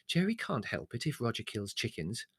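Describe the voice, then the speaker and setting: A man voicing a gentle mother who soothes and placates her grumbling son. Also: medium pitch, very animated, normal speed, gravelly, no echo, clean studio sound. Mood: placating.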